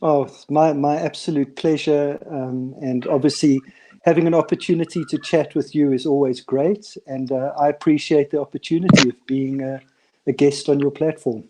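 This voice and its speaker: A man's voice: fairly high pitch, fairly even intonation, normal speed, a little mellow, a little hiss or hum, poor audio. A man being interviewed as a business mentor, speaking in a friendly way and repeating words as he starts sentences. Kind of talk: casual online interview.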